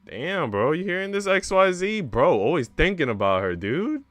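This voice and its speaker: A man's voice: a little high, very animated, moderate pace, fairly bright tone, dry recording. A young man streaming a visual novel read-aloud, narrating with casual, slangy reactions.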